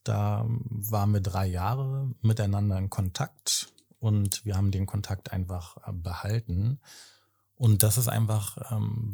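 A man in his thirties from Berlin, co-hosting a podcast and explaining things in a measured, slightly formal way. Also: fairly low pitch, creaky, dark tone, slightly rough. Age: 30s. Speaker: podcast co-host. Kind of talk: casual podcast talk.

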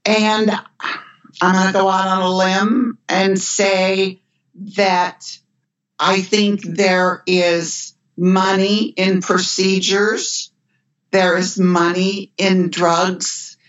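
Slow, measured older woman on dull audio. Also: mid-range voice, little pitch movement. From United States. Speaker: end-of-life care nurse and guest. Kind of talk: podcast conversation.

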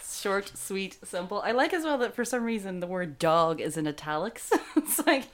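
A woman's voice: medium pitch, expressive, moderate pace, slight background noise. A woman co-hosting a film podcast, teasing her co-host and laughing often. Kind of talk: casual chat.

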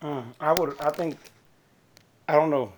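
Raspy-voiced man in casual banter. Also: a little high, steady tone, unhurried, a crowd in the background. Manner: loose and joking, slangy. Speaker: podcast co-host. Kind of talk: casual chat.

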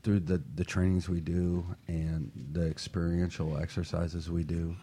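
A man's voice: sits low, level tone, a little slow, dark tone, a little hiss or hum. A man hosting a talk show in a motivational style, affirming and repeating phrases for emphasis. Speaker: talk show host and workshop coach.

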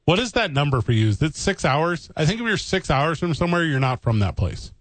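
Higher-voiced man riffing jokes. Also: very animated, brisk, no echo. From St. Louis area, USA. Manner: joking, riffing storyteller. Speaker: podcast co-host. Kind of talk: casual sports chat.

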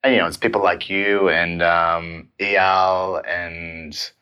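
A man's voice: low-pitched, fairly even intonation, slow pace, bright, forceful tone, smooth, lo-fi sound. An Australian man in his thirties hosting a casual, conversational interview. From Melbourne, Australia. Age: 30s. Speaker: podcast host.

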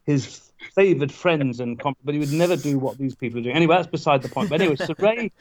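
A man, a guest in a casual interview, tells anecdotes with halting restarts and wry asides. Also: fairly high pitch, expressive. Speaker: guest, former parliamentary candidate. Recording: dull lo-fi sound.

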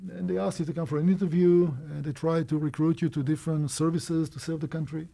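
A man in his sixties, born in Romania, telling his life story as an interview guest in a brisk, animated way. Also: a little high, vocal fry. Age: 60s. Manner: brisk, animated, quick asides and small laughs.

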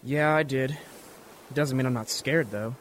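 A man's voice: mid-range voice, a little slow, slight background noise, lightly acted. A man playing an earnest, scared young Marine in a radio war drama. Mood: anxious, sincere.

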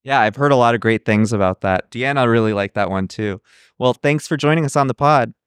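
A man's voice: medium pitch, brisk, smooth, no echo, studio quality. A man hosting a podcast with casual on-the-spot interviews, in an upbeat, appreciative manner. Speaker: podcast host.

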